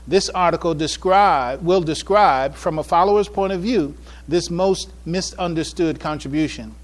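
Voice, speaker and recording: A man with a slightly raised pitch addresses an audience as a presenter. He speaks haltingly, often doubling back on his phrases, in the manner of someone presenting a paper at a public event. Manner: halting, repeats and restarts phrases, trailing asides. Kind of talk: public address, informal preamble then reading a paper aloud.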